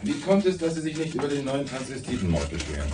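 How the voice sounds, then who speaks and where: An actor playing a weary, dryly sardonic homicide detective in a naturalistic radio drama, speaking in a laconic way. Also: male voice, mid-range voice, wildly swooping pitch, fast, noisy background. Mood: curious, dry.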